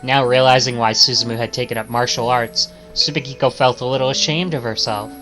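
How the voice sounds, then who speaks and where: A male streamer narrates a visual novel dramatically, reading the text aloud. His voice is bright and mid-pitched, with faint music underneath. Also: fairly even intonation, fairly quick.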